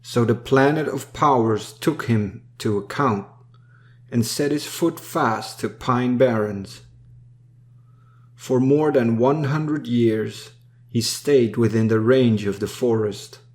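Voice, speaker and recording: A man reading a dark narrative poem in a solemn, measured storytelling manner. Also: mid-range voice. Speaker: poem reader. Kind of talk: solemn scripted poetry recitation.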